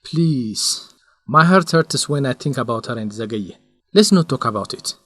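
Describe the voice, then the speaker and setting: Radio drama sidekick: young, cautious, earnest, mid-range voice, very dry sound. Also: male voice, mid-range voice, expressive, moderate pace, fairly bright tone, dry recording. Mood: cautious, wistful. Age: young adult. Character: cautious, lovelorn young male friend. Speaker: actor in an educational radio drama.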